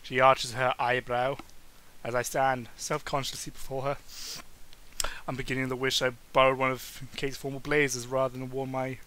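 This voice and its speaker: A man's voice: mid-range voice, little pitch movement, normal speed, bright, forceful tone, slight background noise, rough recording. A man giving a mocking, theatrical dramatic reading of a book, often tripping over his words and tossing in asides.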